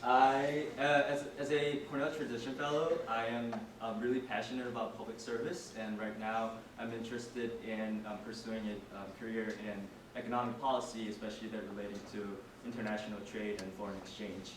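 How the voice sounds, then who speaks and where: A man's voice: on the low side, flat delivery, fairly quick, hardly any pauses. A young man, a student panelist, introducing himself casually with many fillers. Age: young adult. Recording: echoey room, far from the mic, noisy.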